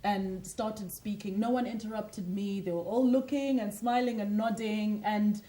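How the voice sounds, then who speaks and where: A woman's voice: mid-range voice, fairly even intonation, moderate pace, dark tone. A woman from Zimbabwe, a lifestyle coach and author, speaking earnestly and motivationally as a guest in a TV studio interview.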